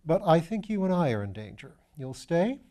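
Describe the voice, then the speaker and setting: A man's voice: a little high, big pitch swings, moderate pace, warm tone, voice pushed up. A man voicing a flippant, shrewd aristocratic detective, breezy and assured, in a storybook reading. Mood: urgent, assured. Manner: breezy, self-deprecating, assured.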